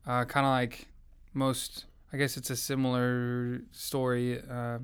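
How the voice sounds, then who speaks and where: A man's voice: mid-range voice, monotone, measured pace, smooth. Earnest young man. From American South. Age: young adult. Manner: earnest, reflective. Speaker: interviewee sharing his faith story. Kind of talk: personal testimony in conversation.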